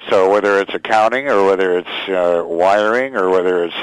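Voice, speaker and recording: An older man answering interview questions thoughtfully and haltingly, often repeating words as he goes. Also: deep voice, clean tone, dry recording, rough recording. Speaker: radio station general manager, interview guest.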